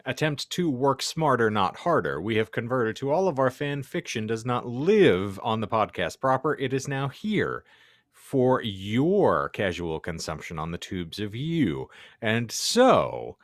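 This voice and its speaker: A man's voice: mid-range voice, very animated, measured pace, dry recording, studio quality. A man hosting a podcast, delivering a theatrical introduction with wordy, mock-grand phrasing and casual banter. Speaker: podcast host.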